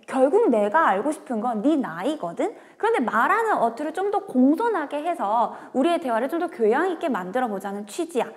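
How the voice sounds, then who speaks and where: A woman's voice: high voice, big pitch swings, a little fast, few pauses, big-room sound. A woman teaching a grammar lecture, talking straight to her students and moving from casual talk into polite speech.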